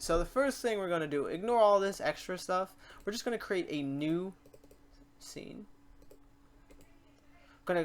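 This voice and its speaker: An informal tutorial host with a middling pitch walks viewers through software step by step. The delivery is animated and slangy, often slipping into acted-out questions and exclamations from a learner.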